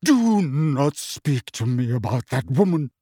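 Brief: male reader as a theatrical middle-aged witch, shrill and quavering, swinging wildly in pitch, full of wounded pride. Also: animated, slow, a little mellow, dry recording, clean studio sound. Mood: dramatic. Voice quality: high-pitched, wavering, theatrical. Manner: dramatic, self-pitying, throbbing with emotion. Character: hysterical insulted middle-aged witch seer.

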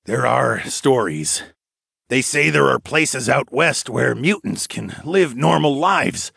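A man voicing a gruff, suspicious, irritable grandfather in audiobook dialogue, curt and huffing. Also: medium pitch, expressive, takes it slowly, fairly bright tone, no room sound, clean studio sound, pitched above the performer's own voice, heavily acted. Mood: tired. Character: gruff older grandfather, armed, wary and irritable.

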